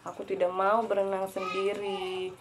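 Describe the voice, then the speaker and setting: A woman's voice: mid-range voice, monotone, moderate pace, noisy background, close to an everyday voice. A woman reading aloud, voicing a whiny, hungry little bear cub in a children's storybook. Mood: pleading. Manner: whining, pleading.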